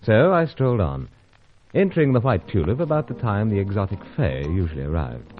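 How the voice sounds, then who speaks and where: A suave secret agent in a radio serial, low in pitch with lively inflection. His speech is casual, clipped and old-fashioned, over music in a slightly muffled recording. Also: fairly quick. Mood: measured narration. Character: suave British secret agent hero, narrating his own adventure. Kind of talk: old-time radio serial acting with first-person narration.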